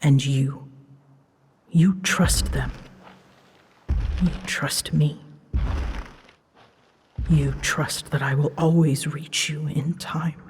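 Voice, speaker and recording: Slow, imperious dragon queen of storms voiced by a woman. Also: low voice, steady tone, long reverb tail, a little hiss or hum. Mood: scolding, pained. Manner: imperious, theatrical, measured, with hushed breathy phrases. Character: proud, scolding female dragon, sovereign of seas and storms. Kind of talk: scripted audio roleplay, ASMR drama.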